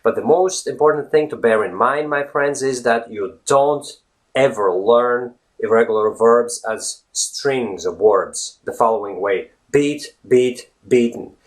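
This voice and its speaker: A man's voice: mid-range voice, unhurried, rough recording. A man in his thirties from Latvia with a Latvian accent, teaching English in a casual video lesson, earnest and full of fillers and "right?". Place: indoors, virtual studio backdrop. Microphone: camera close-up, facing camera.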